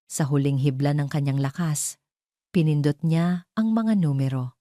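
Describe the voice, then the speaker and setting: Low-pitched Filipino woman narrating, mellow and dry. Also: moderate pace, dry recording. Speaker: audio drama narrator. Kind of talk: scripted dramatic story narration.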